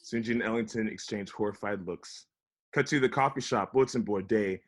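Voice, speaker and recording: A man reading a comedy script, both as an incredulous young roommate and as the dry, wry reader of stage directions, with a husky voice. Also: fairly low pitch, fairly quick, natural voice. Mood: dry narration. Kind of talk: table read of comedy script, with narrated directions. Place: at home, remote table read.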